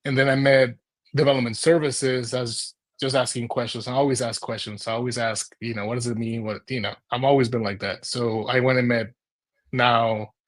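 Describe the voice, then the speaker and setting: A man in his thirties speaks at a middle pitch, telling his own story as a guest in a conversation. He is from Mexico and was raised in Houston. His delivery is informal and rambling, and he often poses rhetorical questions to himself. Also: fairly even intonation, normal speed. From Mexico, moved to Houston as a child. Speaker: urban planner, interview guest. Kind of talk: conversational personal storytelling in an interview.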